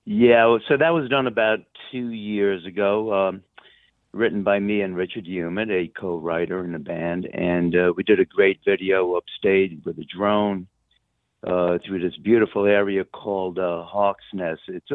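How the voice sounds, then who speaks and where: A man's voice: low-pitched, steady tone, normal speed, no echo. A man from the United States chatting casually as a musician guest on a remote line, informal, rambling and hesitant. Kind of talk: casual interview chat. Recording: narrow-band phone guest line.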